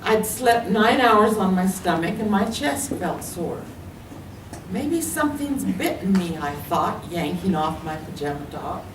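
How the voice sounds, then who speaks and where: A woman's voice: mid-range voice, sing-song, a little slow. An older woman with an American accent reads a personal story aloud expressively at a public reading. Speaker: writer reading own work. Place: public reading in a library room. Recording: echoey room, distant mic, faint noise.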